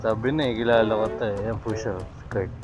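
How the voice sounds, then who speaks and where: A man, a motorcycle taxi rider chatting casually and teasing over a helmet intercom, quick to laugh. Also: lowish voice, flat delivery. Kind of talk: casual joking intercom chat. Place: outdoors on a motorcycle in street traffic. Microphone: helmet intercom microphone. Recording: dull helmet intercom audio with music.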